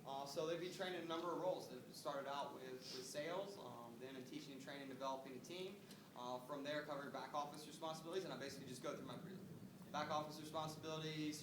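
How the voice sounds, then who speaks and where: Fast, high-pitched man in a noisy room. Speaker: recruiting trainer making phone screening calls. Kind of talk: casual sales-style phone script and coaching. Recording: roomy, distant mic, noisy background.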